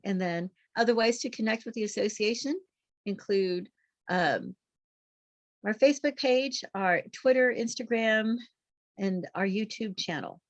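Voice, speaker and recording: A woman's voice: mid-range voice, measured pace, no echo. A woman giving an informational presentation as a nonprofit representative on an online call. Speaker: nonprofit association representative, guest speaker.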